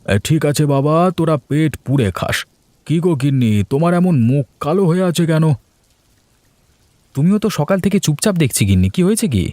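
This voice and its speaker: A man's voice: a little high, lively intonation, fairly quick, dark tone, no room sound, close to an everyday voice. A man playing an easygoing adult son in a cartoon family story, good-natured and conversational. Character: easygoing adult son, head of a village household.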